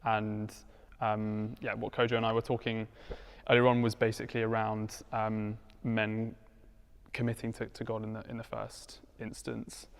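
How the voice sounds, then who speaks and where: A man's voice: fairly low pitch, monotone, normal speed, gravelly. A man in his twenties, thoughtful and hesitant, speaking in a casual panel discussion. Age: 20s. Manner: thoughtful, hesitant, many fillers. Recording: hall reverb.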